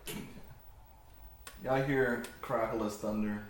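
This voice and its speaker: A man's voice: mid-range voice, steady tone, unhurried. A man running a tabletop game as game master, switching between narration and casual banter. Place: indoor room, home game session. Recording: echoey room, background noise, home-made. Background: noise, air conditioner hum.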